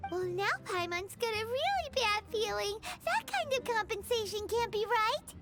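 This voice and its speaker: A tiny, childlike fairy girl from a fantasy video game, squeaky and extremely high. Her delivery is exaggerated and lively in pitch, excitable yet wary. Loud music plays behind her. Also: normal speed. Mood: suspicious. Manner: childish, third-person self-reference, exaggerated. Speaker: video game character voice actor. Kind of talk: scripted game cutscene dialogue.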